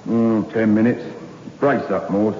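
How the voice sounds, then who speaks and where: An actor playing a hard-nosed prison guard, blunt and matter-of-fact, in a 1960s radio drama. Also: male voice, lowish voice, steady tone, takes it slowly, slight background noise. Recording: echoey, dull old radio recording.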